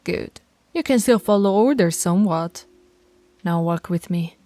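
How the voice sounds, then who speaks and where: A woman's voice: lowish voice, a little slow, dark tone, soft background music, natural voice. A woman voicing a stern, teasing alpha werewolf pack leader in an audio roleplay, authoritative and taunting. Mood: stern, scolding.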